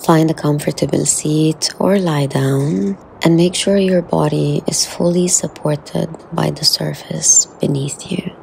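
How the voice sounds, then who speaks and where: A woman leading a guided meditation in a soothing, unhurried way, with soft, breathy phrase endings and long pauses. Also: low voice, steady tone, slight background noise.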